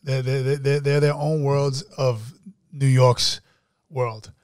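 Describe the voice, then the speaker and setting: Young New guy, mid-pitched, casual and streetwise, dry close mic. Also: fairly even intonation, takes it slowly, a little mellow, clear, resonant tone, dry recording. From Queens, New York. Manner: streetwise, repeats words and restarts, says "you know" a lot. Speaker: actor guest. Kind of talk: casual interview chat.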